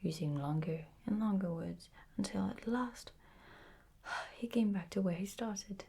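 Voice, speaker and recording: Woman bedtime story reader for children: hushed, breathy, creaky at the edges, soothing and unhurried. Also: medium pitch.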